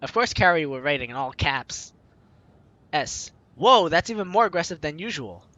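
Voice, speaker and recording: A man's voice: a little high, expressive, moderate pace, pushed and bright, no echo, highs cut off. A young man hosting a let's play, reading game text aloud with informal, jokey asides. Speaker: host of a gaming let's play series. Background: game music.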